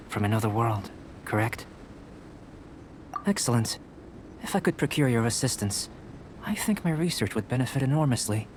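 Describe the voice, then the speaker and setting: A man playing a calm, detached young scholar and alchemist in a video game, speaking in a measured, formal, analytical way. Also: lowish voice, lightly acted.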